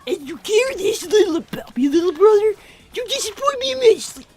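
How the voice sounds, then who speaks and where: Precocious five-year-old boy, played by a woman, piercingly high, pompous and dry, for a cartoon-style improvised gaming stream. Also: brisk, soft background music. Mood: indignant. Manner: pompous, deadpan, formal wording beyond his age. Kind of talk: improvised in-character gaming livestream.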